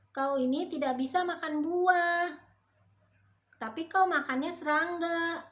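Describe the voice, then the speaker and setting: Woman doing a shrill greedy monkey. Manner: shrill, taunting, loud. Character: greedy sly male monkey, mocking. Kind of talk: children's storybook reading.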